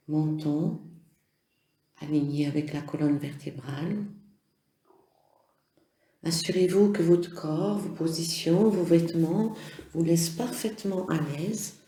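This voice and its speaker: A yoga nidra instructor guiding a relaxation meditation in gentle, measured phrases with pauses. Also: medium pitch, reverberant. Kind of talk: slow guided relaxation meditation.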